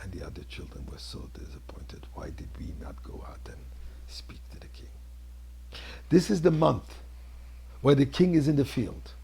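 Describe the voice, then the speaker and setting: A man, a rabbi, telling a story in a dramatic sermon, with a husky, gravelly voice and emphatic delivery that moves between hushed and declamatory. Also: mid-range voice, big pitch swings, moderate pace, rough recording. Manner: theatrical, emphatic delivery, swinging between hushed near-whispers and loud declamation.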